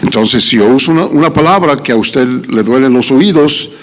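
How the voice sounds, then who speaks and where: A middle-aged man preaching a sermon in a church with a Mexican Spanish accent, pausing on many "ah" fillers and rising to emphatic questions. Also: medium pitch, lively intonation. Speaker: visiting preacher. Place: church sanctuary. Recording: echoey church hall.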